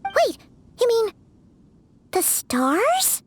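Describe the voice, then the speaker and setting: A woman voicing a tiny, excitable, naive fairy companion in a video game, with a squeaky, cute, exaggerated childlike delivery. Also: very slow, a little hiss or hum, heavily acted. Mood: puzzled. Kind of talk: scripted video game voice acting. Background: soft background music.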